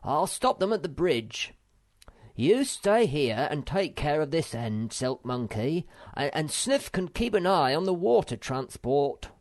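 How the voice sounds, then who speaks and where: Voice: mid-range voice, sing-song, unhurried, a little mellow, voice pushed up. A man putting on an earnest, slightly bossy young male troll, his voice lifted well above the reader's own and pushed forward. The tone rises and falls eagerly, still with a grainy edge, as in a storybook read aloud.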